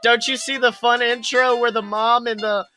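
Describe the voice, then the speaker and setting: A man's voice: very high-pitched, level tone, normal speed, sharp tone, faint music behind. A man co-hosting a podcast with casual comedic banter, rambling and exclamatory. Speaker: podcast co-host.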